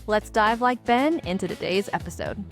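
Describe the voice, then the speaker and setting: A woman's voice: medium pitch, animated, normal speed. A woman reading a scripted podcast intro in an upbeat delivery. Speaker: intro announcer. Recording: music bed under voice.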